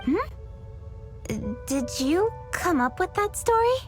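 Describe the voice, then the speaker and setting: A woman voicing an earnest, driven young woman in a game cutscene, speaking in a composed, thoughtful, measured way. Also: extremely high pitch, sing-song, exaggerated voice. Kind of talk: video game cutscene dialogue. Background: game music.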